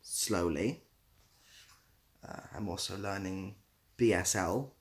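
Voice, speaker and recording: A man's voice: low-pitched, slow. A British-accented man chatting casually as a livestream host, answering viewers in a dry, easygoing, self-deprecating way. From Britain.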